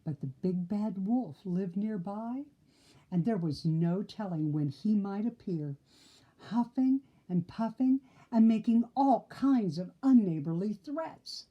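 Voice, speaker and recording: A woman reading a children's story aloud in an expressive narrating style. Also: medium pitch, slow, lo-fi sound. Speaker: storybook reader.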